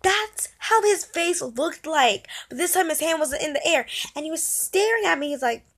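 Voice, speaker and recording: A young girl, a child or young teen, chatting casually and telling a story in an animated, dramatic way into a phone held at arm's length. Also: high-pitched, moderate pace. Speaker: vlogger. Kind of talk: casual storytime vlog chat.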